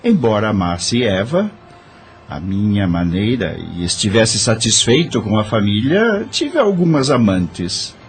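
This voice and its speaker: A man's voice: fairly low pitch, big pitch swings, a little slow, faint music behind. An actor playing a once-proud corrupt judge in an audio drama, confessing his misdeeds with remorse in a dramatic, sighing manner. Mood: weary, confessional.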